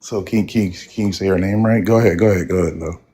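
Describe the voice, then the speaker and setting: A man with a fairly low voice helps host a live call-in debate stream. He talks in an insistent, needling way, repeating his words over and over to press the caller. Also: steady tone, fast, dark tone, a little hiss or hum.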